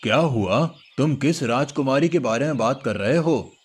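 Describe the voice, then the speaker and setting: A man's voice: medium pitch, animated, moderate pace, slightly rough. A man voicing an honest village cowherd in a cartoon folk tale, speaking politely and earnestly. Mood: polite, curious.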